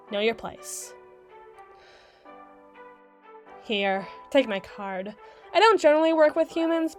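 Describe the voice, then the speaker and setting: A brisk mermaid lawyer, acted by a woman, speaks in a lightly high voice with faint music behind her. She is articulate, condescending and dryly witty, delivering her scripted roleplay monologue like a lecture, with deliberate pauses for dramatic weight. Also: a bit bright. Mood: wry, exasperated. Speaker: audio roleplay performer. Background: background music.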